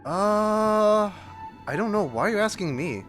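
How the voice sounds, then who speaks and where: A man's voice: high voice, expressive, a little slow, fairly bright tone, faint music behind. A man giving casual live reaction commentary as a streamer, in short informal interjections.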